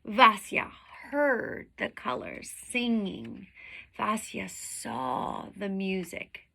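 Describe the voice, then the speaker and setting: A woman reading a picture book aloud like a teacher, with deliberate, dramatic phrasing that leans on the vivid words. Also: mid-range voice, creaky, sharp tone. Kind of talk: expressive storybook read-aloud.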